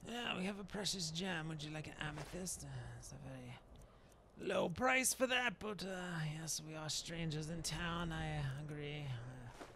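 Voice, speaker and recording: A man roleplaying a hazy-minded dark elf shaman in a video game, muttering and rambling hesitantly as he muses aloud. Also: fairly high pitch, moderate pace. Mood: musing, muttering. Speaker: player roleplaying his game character. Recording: noisy background with game ambience.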